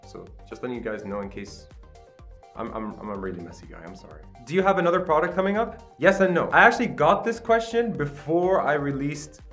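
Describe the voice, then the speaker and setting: A man's voice: on the high side, very animated, fairly quick, fairly bright tone, soft background music. A man in his late twenties from Qatar hosts his own channel. He talks to the camera in a casual, upbeat, self-deprecating way and often tags sentences with "right?", on mediocre home-recorded sound. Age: late 20s. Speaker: channel host. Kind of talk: casual vlog talk to camera. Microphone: camera with self-admitted poor sound.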